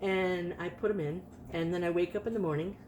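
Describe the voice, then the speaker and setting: Late-fifties woman vlogger, chatty and rambling, slightly low voice. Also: fairly even intonation, brisk, a little mellow, a little hiss or hum, rough recording. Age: 50s. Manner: chatty, rambling, with frequent restarts. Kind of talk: casual personal testimonial.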